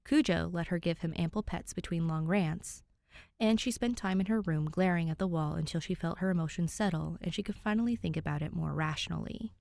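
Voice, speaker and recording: A woman's voice: fairly low pitch, fairly quick, gravelly, a little mellow, no room sound. A woman hosting and narrating fan fiction, chatting to listeners and reading expressively. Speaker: podfic reader and channel host.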